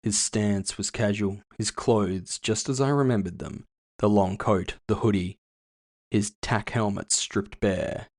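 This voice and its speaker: A man's voice: lowish voice, fairly even intonation, unhurried, darkish tone. A man narrating scripted first-person fiction as the author of the story.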